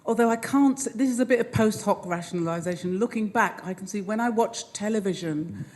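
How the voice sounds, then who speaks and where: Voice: mid-range voice, darkish tone. A panelist reflecting thoughtfully on an upbringing. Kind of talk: panel discussion storytelling.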